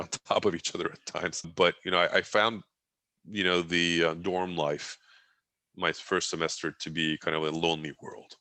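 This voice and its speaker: Turkish guy, 40s, deep but bright voice, chatty and rambly, restarts a lot. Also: no room sound. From Turkey. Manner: rambling storytelling with many restarts and fillers. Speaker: guest. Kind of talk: casual interview talk.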